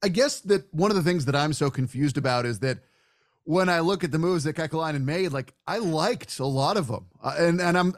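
A man hosting a sports show, talking conversationally as he gives hockey commentary and puts questions to a guest. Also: fairly high pitch.

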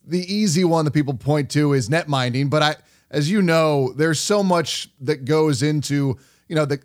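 A man hosting a hockey podcast, interviewing guests in a casual, conversational style. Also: on the high side. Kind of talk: casual sports interview chat.

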